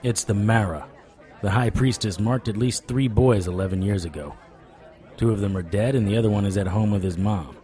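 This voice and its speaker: Low-voiced wisecracking noir detective, played by a man. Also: a little fast, dark tone, a faint crowd, lightly acted. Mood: dry banter. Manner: wry, sarcastic. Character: wisecracking, hard-boiled male supernatural private investigator. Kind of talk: noir radio drama with first-person narration.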